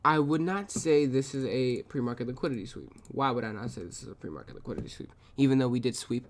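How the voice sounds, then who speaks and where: A man's voice: mid-range voice, fast. A man with a sniffly nose, reviewing his own trades aloud in a casual, profane recap, often muttering to himself.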